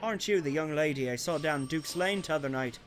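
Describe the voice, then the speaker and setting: A man's voice: fairly high pitch, fairly quick, lightly acted. A man playing a jovial, dismissive police constable in a radio drama, chatty and amused. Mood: scoffing, amused.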